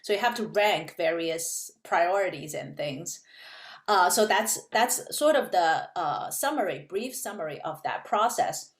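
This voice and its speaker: A woman's voice: lowish voice, expressive, unhurried, roomy sound. A woman professor from China, explaining things at an easy pace in a casual interview, with many fillers. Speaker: physics professor, guest.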